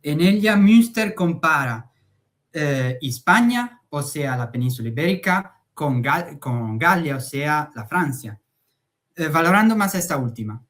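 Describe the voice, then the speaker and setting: Slow, hesitant male academic speaker. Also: a little high, lively intonation, fairly bright tone. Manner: slow, hesitant, frequent "eh" fillers. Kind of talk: conference talk.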